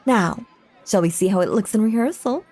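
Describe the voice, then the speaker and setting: A woman playing a possessive, lovestruck stage magician in a roleplay audio drama, theatrical and doting, often breathy or whispering. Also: mid-range voice, a little mellow, natural voice. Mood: enraptured. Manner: theatrical, doting, drawn-out hypnotic cooing. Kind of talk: roleplay audio drama, intimate second-person monologue.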